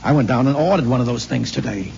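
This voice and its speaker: A man playing a brisk, firm loyal friend. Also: mid-range voice, creaky, darkish tone, soft background music, natural voice. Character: steady loyal male friend, brisk and practical. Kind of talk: 1950s radio drama acting. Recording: dull 1950s radio broadcast with faint hum.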